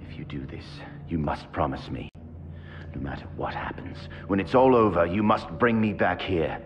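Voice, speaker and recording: An actor playing a grave, wary scientist in a video game, gravelly and articulate, with an ominous, deliberate delivery. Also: male voice, low-pitched, lively intonation, muffled recording, slight background noise. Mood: wary, intense. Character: grave male scientist, wary asylum-held former monster. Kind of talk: scripted video game acting. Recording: music behind, at times echoey and distant.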